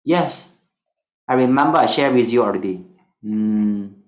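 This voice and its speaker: A Singaporean man whose first language is Mandarin, leading a stock class in slow, hesitant English. He fills pauses with "uh" and fragments, at a middle pitch, and the sound is echoey. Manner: halting, many fillers, broken English. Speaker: stock analysis teacher. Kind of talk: online class lecture.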